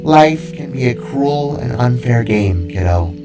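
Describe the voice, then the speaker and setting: A tiny talking cube from an audio story, voiced by a man, solemn and philosophical. The delivery is slow and measured, with music playing behind. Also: mid-range voice, lively intonation, far from the mic. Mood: solemn, remorseful.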